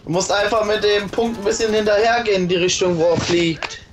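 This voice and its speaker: A man's voice: sits high, fairly even intonation, fairly quick, background noise. A young man chatting casually with friends over an online game, joking and teasing and laughing often. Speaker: gamer. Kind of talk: casual gaming chat with friends.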